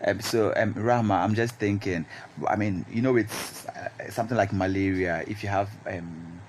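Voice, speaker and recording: Male discussion host, Ghanaian accent, slightly deep voice, thoughtful and questioning, a little noise on the recording. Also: fairly even intonation, normal speed. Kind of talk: conversational moderating. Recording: noticeable background noise.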